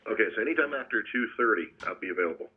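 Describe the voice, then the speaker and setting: Low-voiced man on a noisy phone line. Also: animated, very fast. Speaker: business owner taking a sales call. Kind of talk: casual phone conversation. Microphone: telephone.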